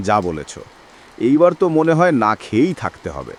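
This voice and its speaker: A man's voice: mid-range voice, huge pitch swings, normal speed. A man voicing a gloomy, worried villager in a cartoon. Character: gloomy, jobless villager worried about prices. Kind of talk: animated cartoon dialogue. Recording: faint rain noise behind.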